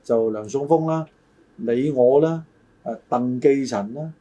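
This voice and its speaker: A man's voice: mid-range voice, measured pace, warm tone, muffled recording. An older man, co-hosting a casual chat and reminiscing in a hesitant, roundabout way. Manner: hesitant, roundabout, hedging his recollections. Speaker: talk show co-host.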